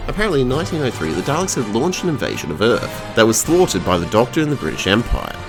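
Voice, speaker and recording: A man's voice: mid-range voice, wildly swooping pitch, quick pace, gravelly, music in the background. An Australian man delivering a scripted review narration with irreverent, crude humour. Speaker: video reviewer.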